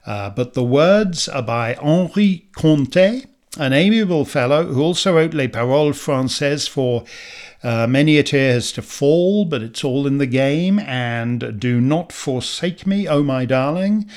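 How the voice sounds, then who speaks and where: A middle-aged man, hoarse from losing his voice, hosting and introducing a weekly song in a gentle, reflective, sentimental way. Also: medium pitch, expressive, unhurried. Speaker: host.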